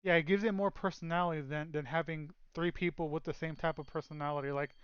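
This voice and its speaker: A man's voice: on the high side, fairly even intonation, fast talker, clear, resonant tone, dry recording, muffled recording. A man co-hosting a casual chat show, rambling with lots of fillers and restarts. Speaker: podcast co-host.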